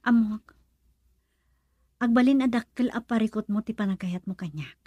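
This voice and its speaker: A woman playing a gentle, worried young woman in love in a radio romance drama, earnest and plaintive. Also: a little high, normal speed, dry recording, lightly acted. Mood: tender, worried.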